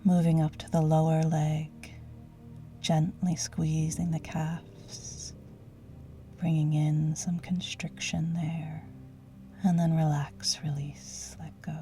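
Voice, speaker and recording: A woman leading a guided yoga nidra relaxation in a soothing, gentle, hushed and breathy voice. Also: low-pitched, flat delivery, takes it slowly, faint music behind. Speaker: guided meditation teacher.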